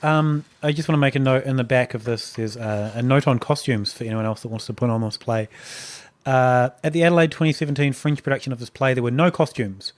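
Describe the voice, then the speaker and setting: A man's voice: mid-range voice, a little fast. A man co-hosting a podcast, chatting casually and joking around. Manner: joking, easily amused, chatty. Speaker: podcast co-host. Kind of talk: casual banter.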